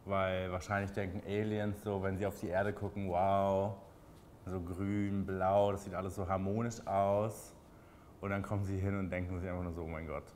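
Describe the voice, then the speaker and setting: A man in his twenties with a Berlin accent, answering interview questions in a relaxed, chatty way with many fillers. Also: sits low, moderate pace, slight background noise. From Berlin, Germany.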